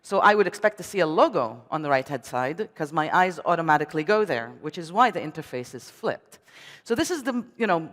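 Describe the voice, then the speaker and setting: A woman whose first language is Hebrew gives a technical conference talk in English from a stage. She speaks in a chatty way, with frequent ums and uhs and wry asides. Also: fairly low pitch. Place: conference hall. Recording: long reverb of a large hall.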